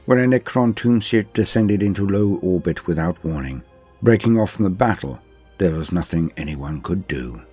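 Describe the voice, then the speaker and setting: Deep, smooth-edged dark male dramatic narrator. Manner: solemn, measured, formal storytelling. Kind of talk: dramatic reading of science-fiction war lore.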